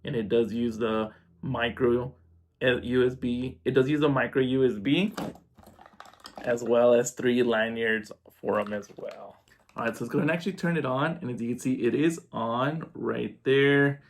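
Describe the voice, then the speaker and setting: A man's voice: medium pitch, fairly even intonation, normal speed. A man talking informally through a casual unboxing review, demonstrating step by step. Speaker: product reviewer. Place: indoors at home.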